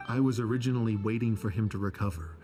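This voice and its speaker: Man playing a low-voiced, downcast young scholar. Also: steady tone, fast talker, mellow, soft background music, close to an everyday voice. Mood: reflective, sad. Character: downcast, self-blaming, soft-hearted male scholar. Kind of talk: video game voice acting.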